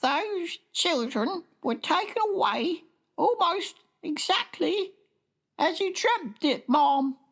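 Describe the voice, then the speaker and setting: A woman's voice: extremely high pitch, animated, slow, voice pushed up, heavily acted. A woman narrator voicing an older, deferential royal maid who speaks hesitantly and anxiously. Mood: nervous.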